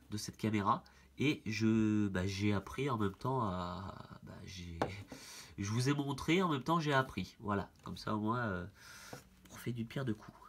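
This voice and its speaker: A man's voice: lowish voice, a little hiss or hum. A man with a head cold, demonstrating a product in a casual, hesitant way with many fillers. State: has a head cold, stuffy. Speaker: product reviewer. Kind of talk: casual hands-on product demo.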